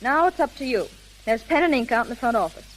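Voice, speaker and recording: A young lady detective chief played by an actress speaks with earnest resolve in a rather high American voice. It is old-fashioned 1930s radio drama, with a light sizzle of surface noise over the recording. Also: animated, fast, lightly acted, poor audio. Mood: firm, commanding.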